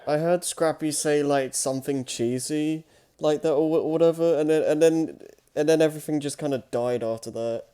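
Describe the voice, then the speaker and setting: Hesitant man chatting, very clear voice. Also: a little high, fairly even intonation, normal speed, warm tone. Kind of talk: casual chat.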